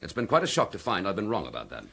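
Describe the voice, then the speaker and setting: A dogged, defiant detective under accusation in a radio drama. His voice is low and husky, with lively movement in pitch. He speaks firmly and in measured phrases, and he holds his ground. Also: exaggerated voice. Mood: defensive. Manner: firm, measured and indignant. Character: accused male chief inspector, dogged and defiant. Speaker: police detective.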